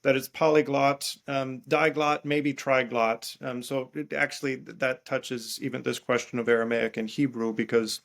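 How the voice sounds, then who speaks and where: A man explaining as a scholarly interview guest, haltingly, thinking aloud and repeating words as he rephrases. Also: medium pitch, steady tone, vocal fry. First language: English. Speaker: guest biblical scholar and priest.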